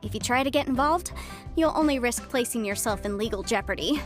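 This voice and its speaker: A woman's voice: fairly high pitch, faint music behind, close to an everyday voice. A woman voicing a talkative, confident young female legal advisor in a video game, with a breezy, businesslike manner. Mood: thoughtful, brisk. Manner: talkative, brisk, polished, breezy. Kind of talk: video game character acting.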